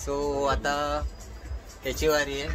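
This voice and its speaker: Guy doing casual vlog commentary, takes his time, music loud behind him. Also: fairly high pitch, monotone, slow pace. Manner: informal, code-mixing with English and Hindi. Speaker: vlogger host.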